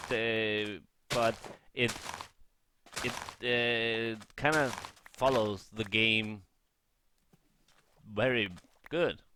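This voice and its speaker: A man giving casual, unscripted gaming commentary in a halting way, often rephrasing and correcting himself. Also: fairly low pitch, background noise. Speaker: gaming vlogger.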